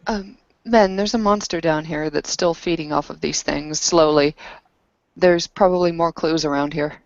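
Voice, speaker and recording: A woman's voice: sits low, steady tone, moderate pace, darkish tone, no echo, muffled recording. A woman playing a tabletop roleplaying game, chatting in a wry, informal way. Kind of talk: casual game-table chat.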